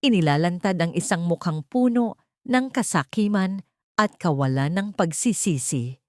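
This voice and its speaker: A woman's voice: on the low side, animated, moderate pace, no room sound, studio quality. A woman narrating a scripted audiobook story in a dramatic storytelling style.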